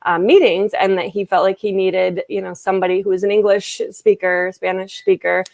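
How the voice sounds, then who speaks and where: A woman's voice: medium pitch, level tone, moderate pace, gravelly, darkish tone, no room sound. A woman translator and interpreter answering interview questions in a casual, hesitant way, with many fillers and restarts over a video call.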